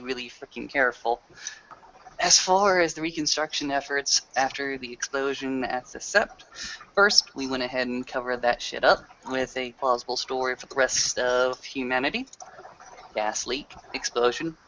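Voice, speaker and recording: Male tabletop roleplayer, forceful and colloquial, swears casually, dull noisy home recording. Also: mid-range voice. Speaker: game master. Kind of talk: in-character tabletop roleplay with narration. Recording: muffled audio with noticeable background hiss.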